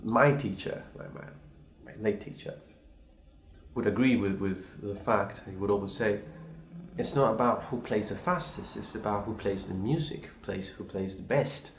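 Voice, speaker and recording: A man's voice: fairly low pitch, normal speed. A man, a pianist speaking English as a second language, giving a thoughtful, hesitant reply full of restarts and apologies. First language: not English. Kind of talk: recorded reply to a video challenge. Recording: echoey room.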